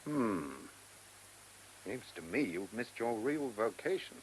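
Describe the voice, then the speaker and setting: An actor with a British accent playing a brisk, probing chief inspector with a husky voice in a radio play. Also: male voice, medium pitch, expressive, a little hiss or hum, poor audio. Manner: questioning, authoritative. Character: chief police inspector, brisk, married, courteous but probing. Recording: old radio drama recording.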